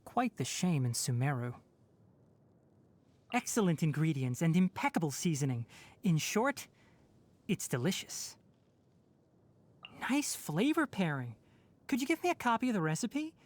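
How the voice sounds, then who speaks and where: A man's voice: fairly high pitch, very animated, unhurried, long pauses. An actor playing a young male forest ranger with fox ears and a tail, composed, wry and knowledgeable, in video game voice lines. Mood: calm wry. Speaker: game character.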